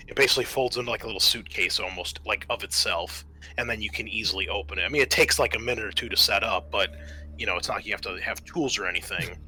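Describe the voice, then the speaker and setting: Bright-voiced man running a tabletop game. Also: fairly low pitch, slightly rough, rough recording. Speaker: game master. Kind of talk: explaining a tabletop sci-fi game casually. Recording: noticeable background noise.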